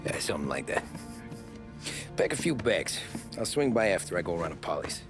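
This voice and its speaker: Guarded american mobster for a crime game, gravelly, pitch moves a lot, terse, music behind. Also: male voice, very animated, fairly quick. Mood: subdued, evasive. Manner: understated, terse, colloquial. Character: guarded, weary male gangster, evasive with his wife. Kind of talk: cinematic crime game acting.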